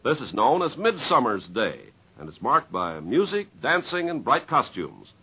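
Animated, husky 1950s male radio announcer. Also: medium pitch, moderate pace. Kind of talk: 1950s scripted radio narration. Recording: muffled 1950s radio broadcast recording.

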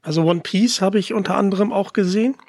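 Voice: mid-range voice, fast talker, a little mellow, no room sound, studio quality. A podcast host interviewing a guest in a casual, conversational style.

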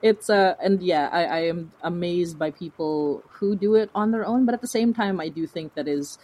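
A woman's voice: lowish voice, normal speed, dark tone, rough recording. A woman chatting casually as an interview guest, rambling and informal, with a self-deprecating way of talking. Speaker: fiction podcast creator, interview guest.

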